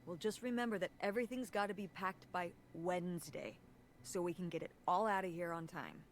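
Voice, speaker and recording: An actress playing a weary, gentle middle-aged mother in a naturalistic video game style. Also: lowish voice, fairly quick, a little hiss or hum, close to an everyday voice. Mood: gently practical.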